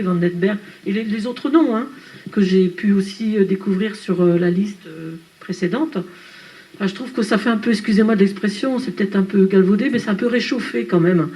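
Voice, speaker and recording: Halting woman questioning at a council meeting. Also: mid-range voice, warm tone, low-quality recording. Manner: hesitant, halting, politely critical. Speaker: council member questioning a proposal. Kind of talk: unscripted debate remarks.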